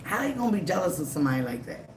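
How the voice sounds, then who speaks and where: A tarot reader giving a live reading, emphatic and repetitive, slightly raspy from a cold. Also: medium pitch, big pitch swings, racing, echoey room, noisy background. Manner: emphatic, repeats phrases, frequent "okay?" and "all right?".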